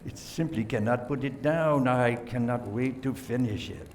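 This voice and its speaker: A man playing a shifty, pompous gentleman murder suspect in an amateur radio play, savoring his words and hesitating. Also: medium pitch, moderate pace, slight background noise, close to an everyday voice. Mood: evasive, savoring.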